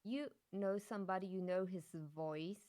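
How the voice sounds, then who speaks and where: A woman from Germany, a streamer guesting on a podcast, chatting in friendly, slightly halting English. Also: mid-range voice, dark tone. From born in Poland, grew up in Germany. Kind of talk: casual interview chat.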